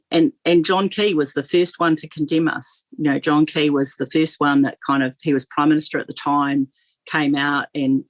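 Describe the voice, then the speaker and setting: A woman's voice: a bass voice, no echo. A woman, a guest on political talk radio, speaking earnestly with many restarts and repeated words.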